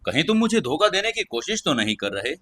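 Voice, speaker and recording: A weakened dark spirit from an audio fantasy tale, acted by a male narrator. He is proud, wary and self-important, with a bright, forceful edge to his voice. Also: on the high side, very animated, brisk, pitched above the performer's own voice. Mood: suspicious. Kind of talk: audio drama storytelling.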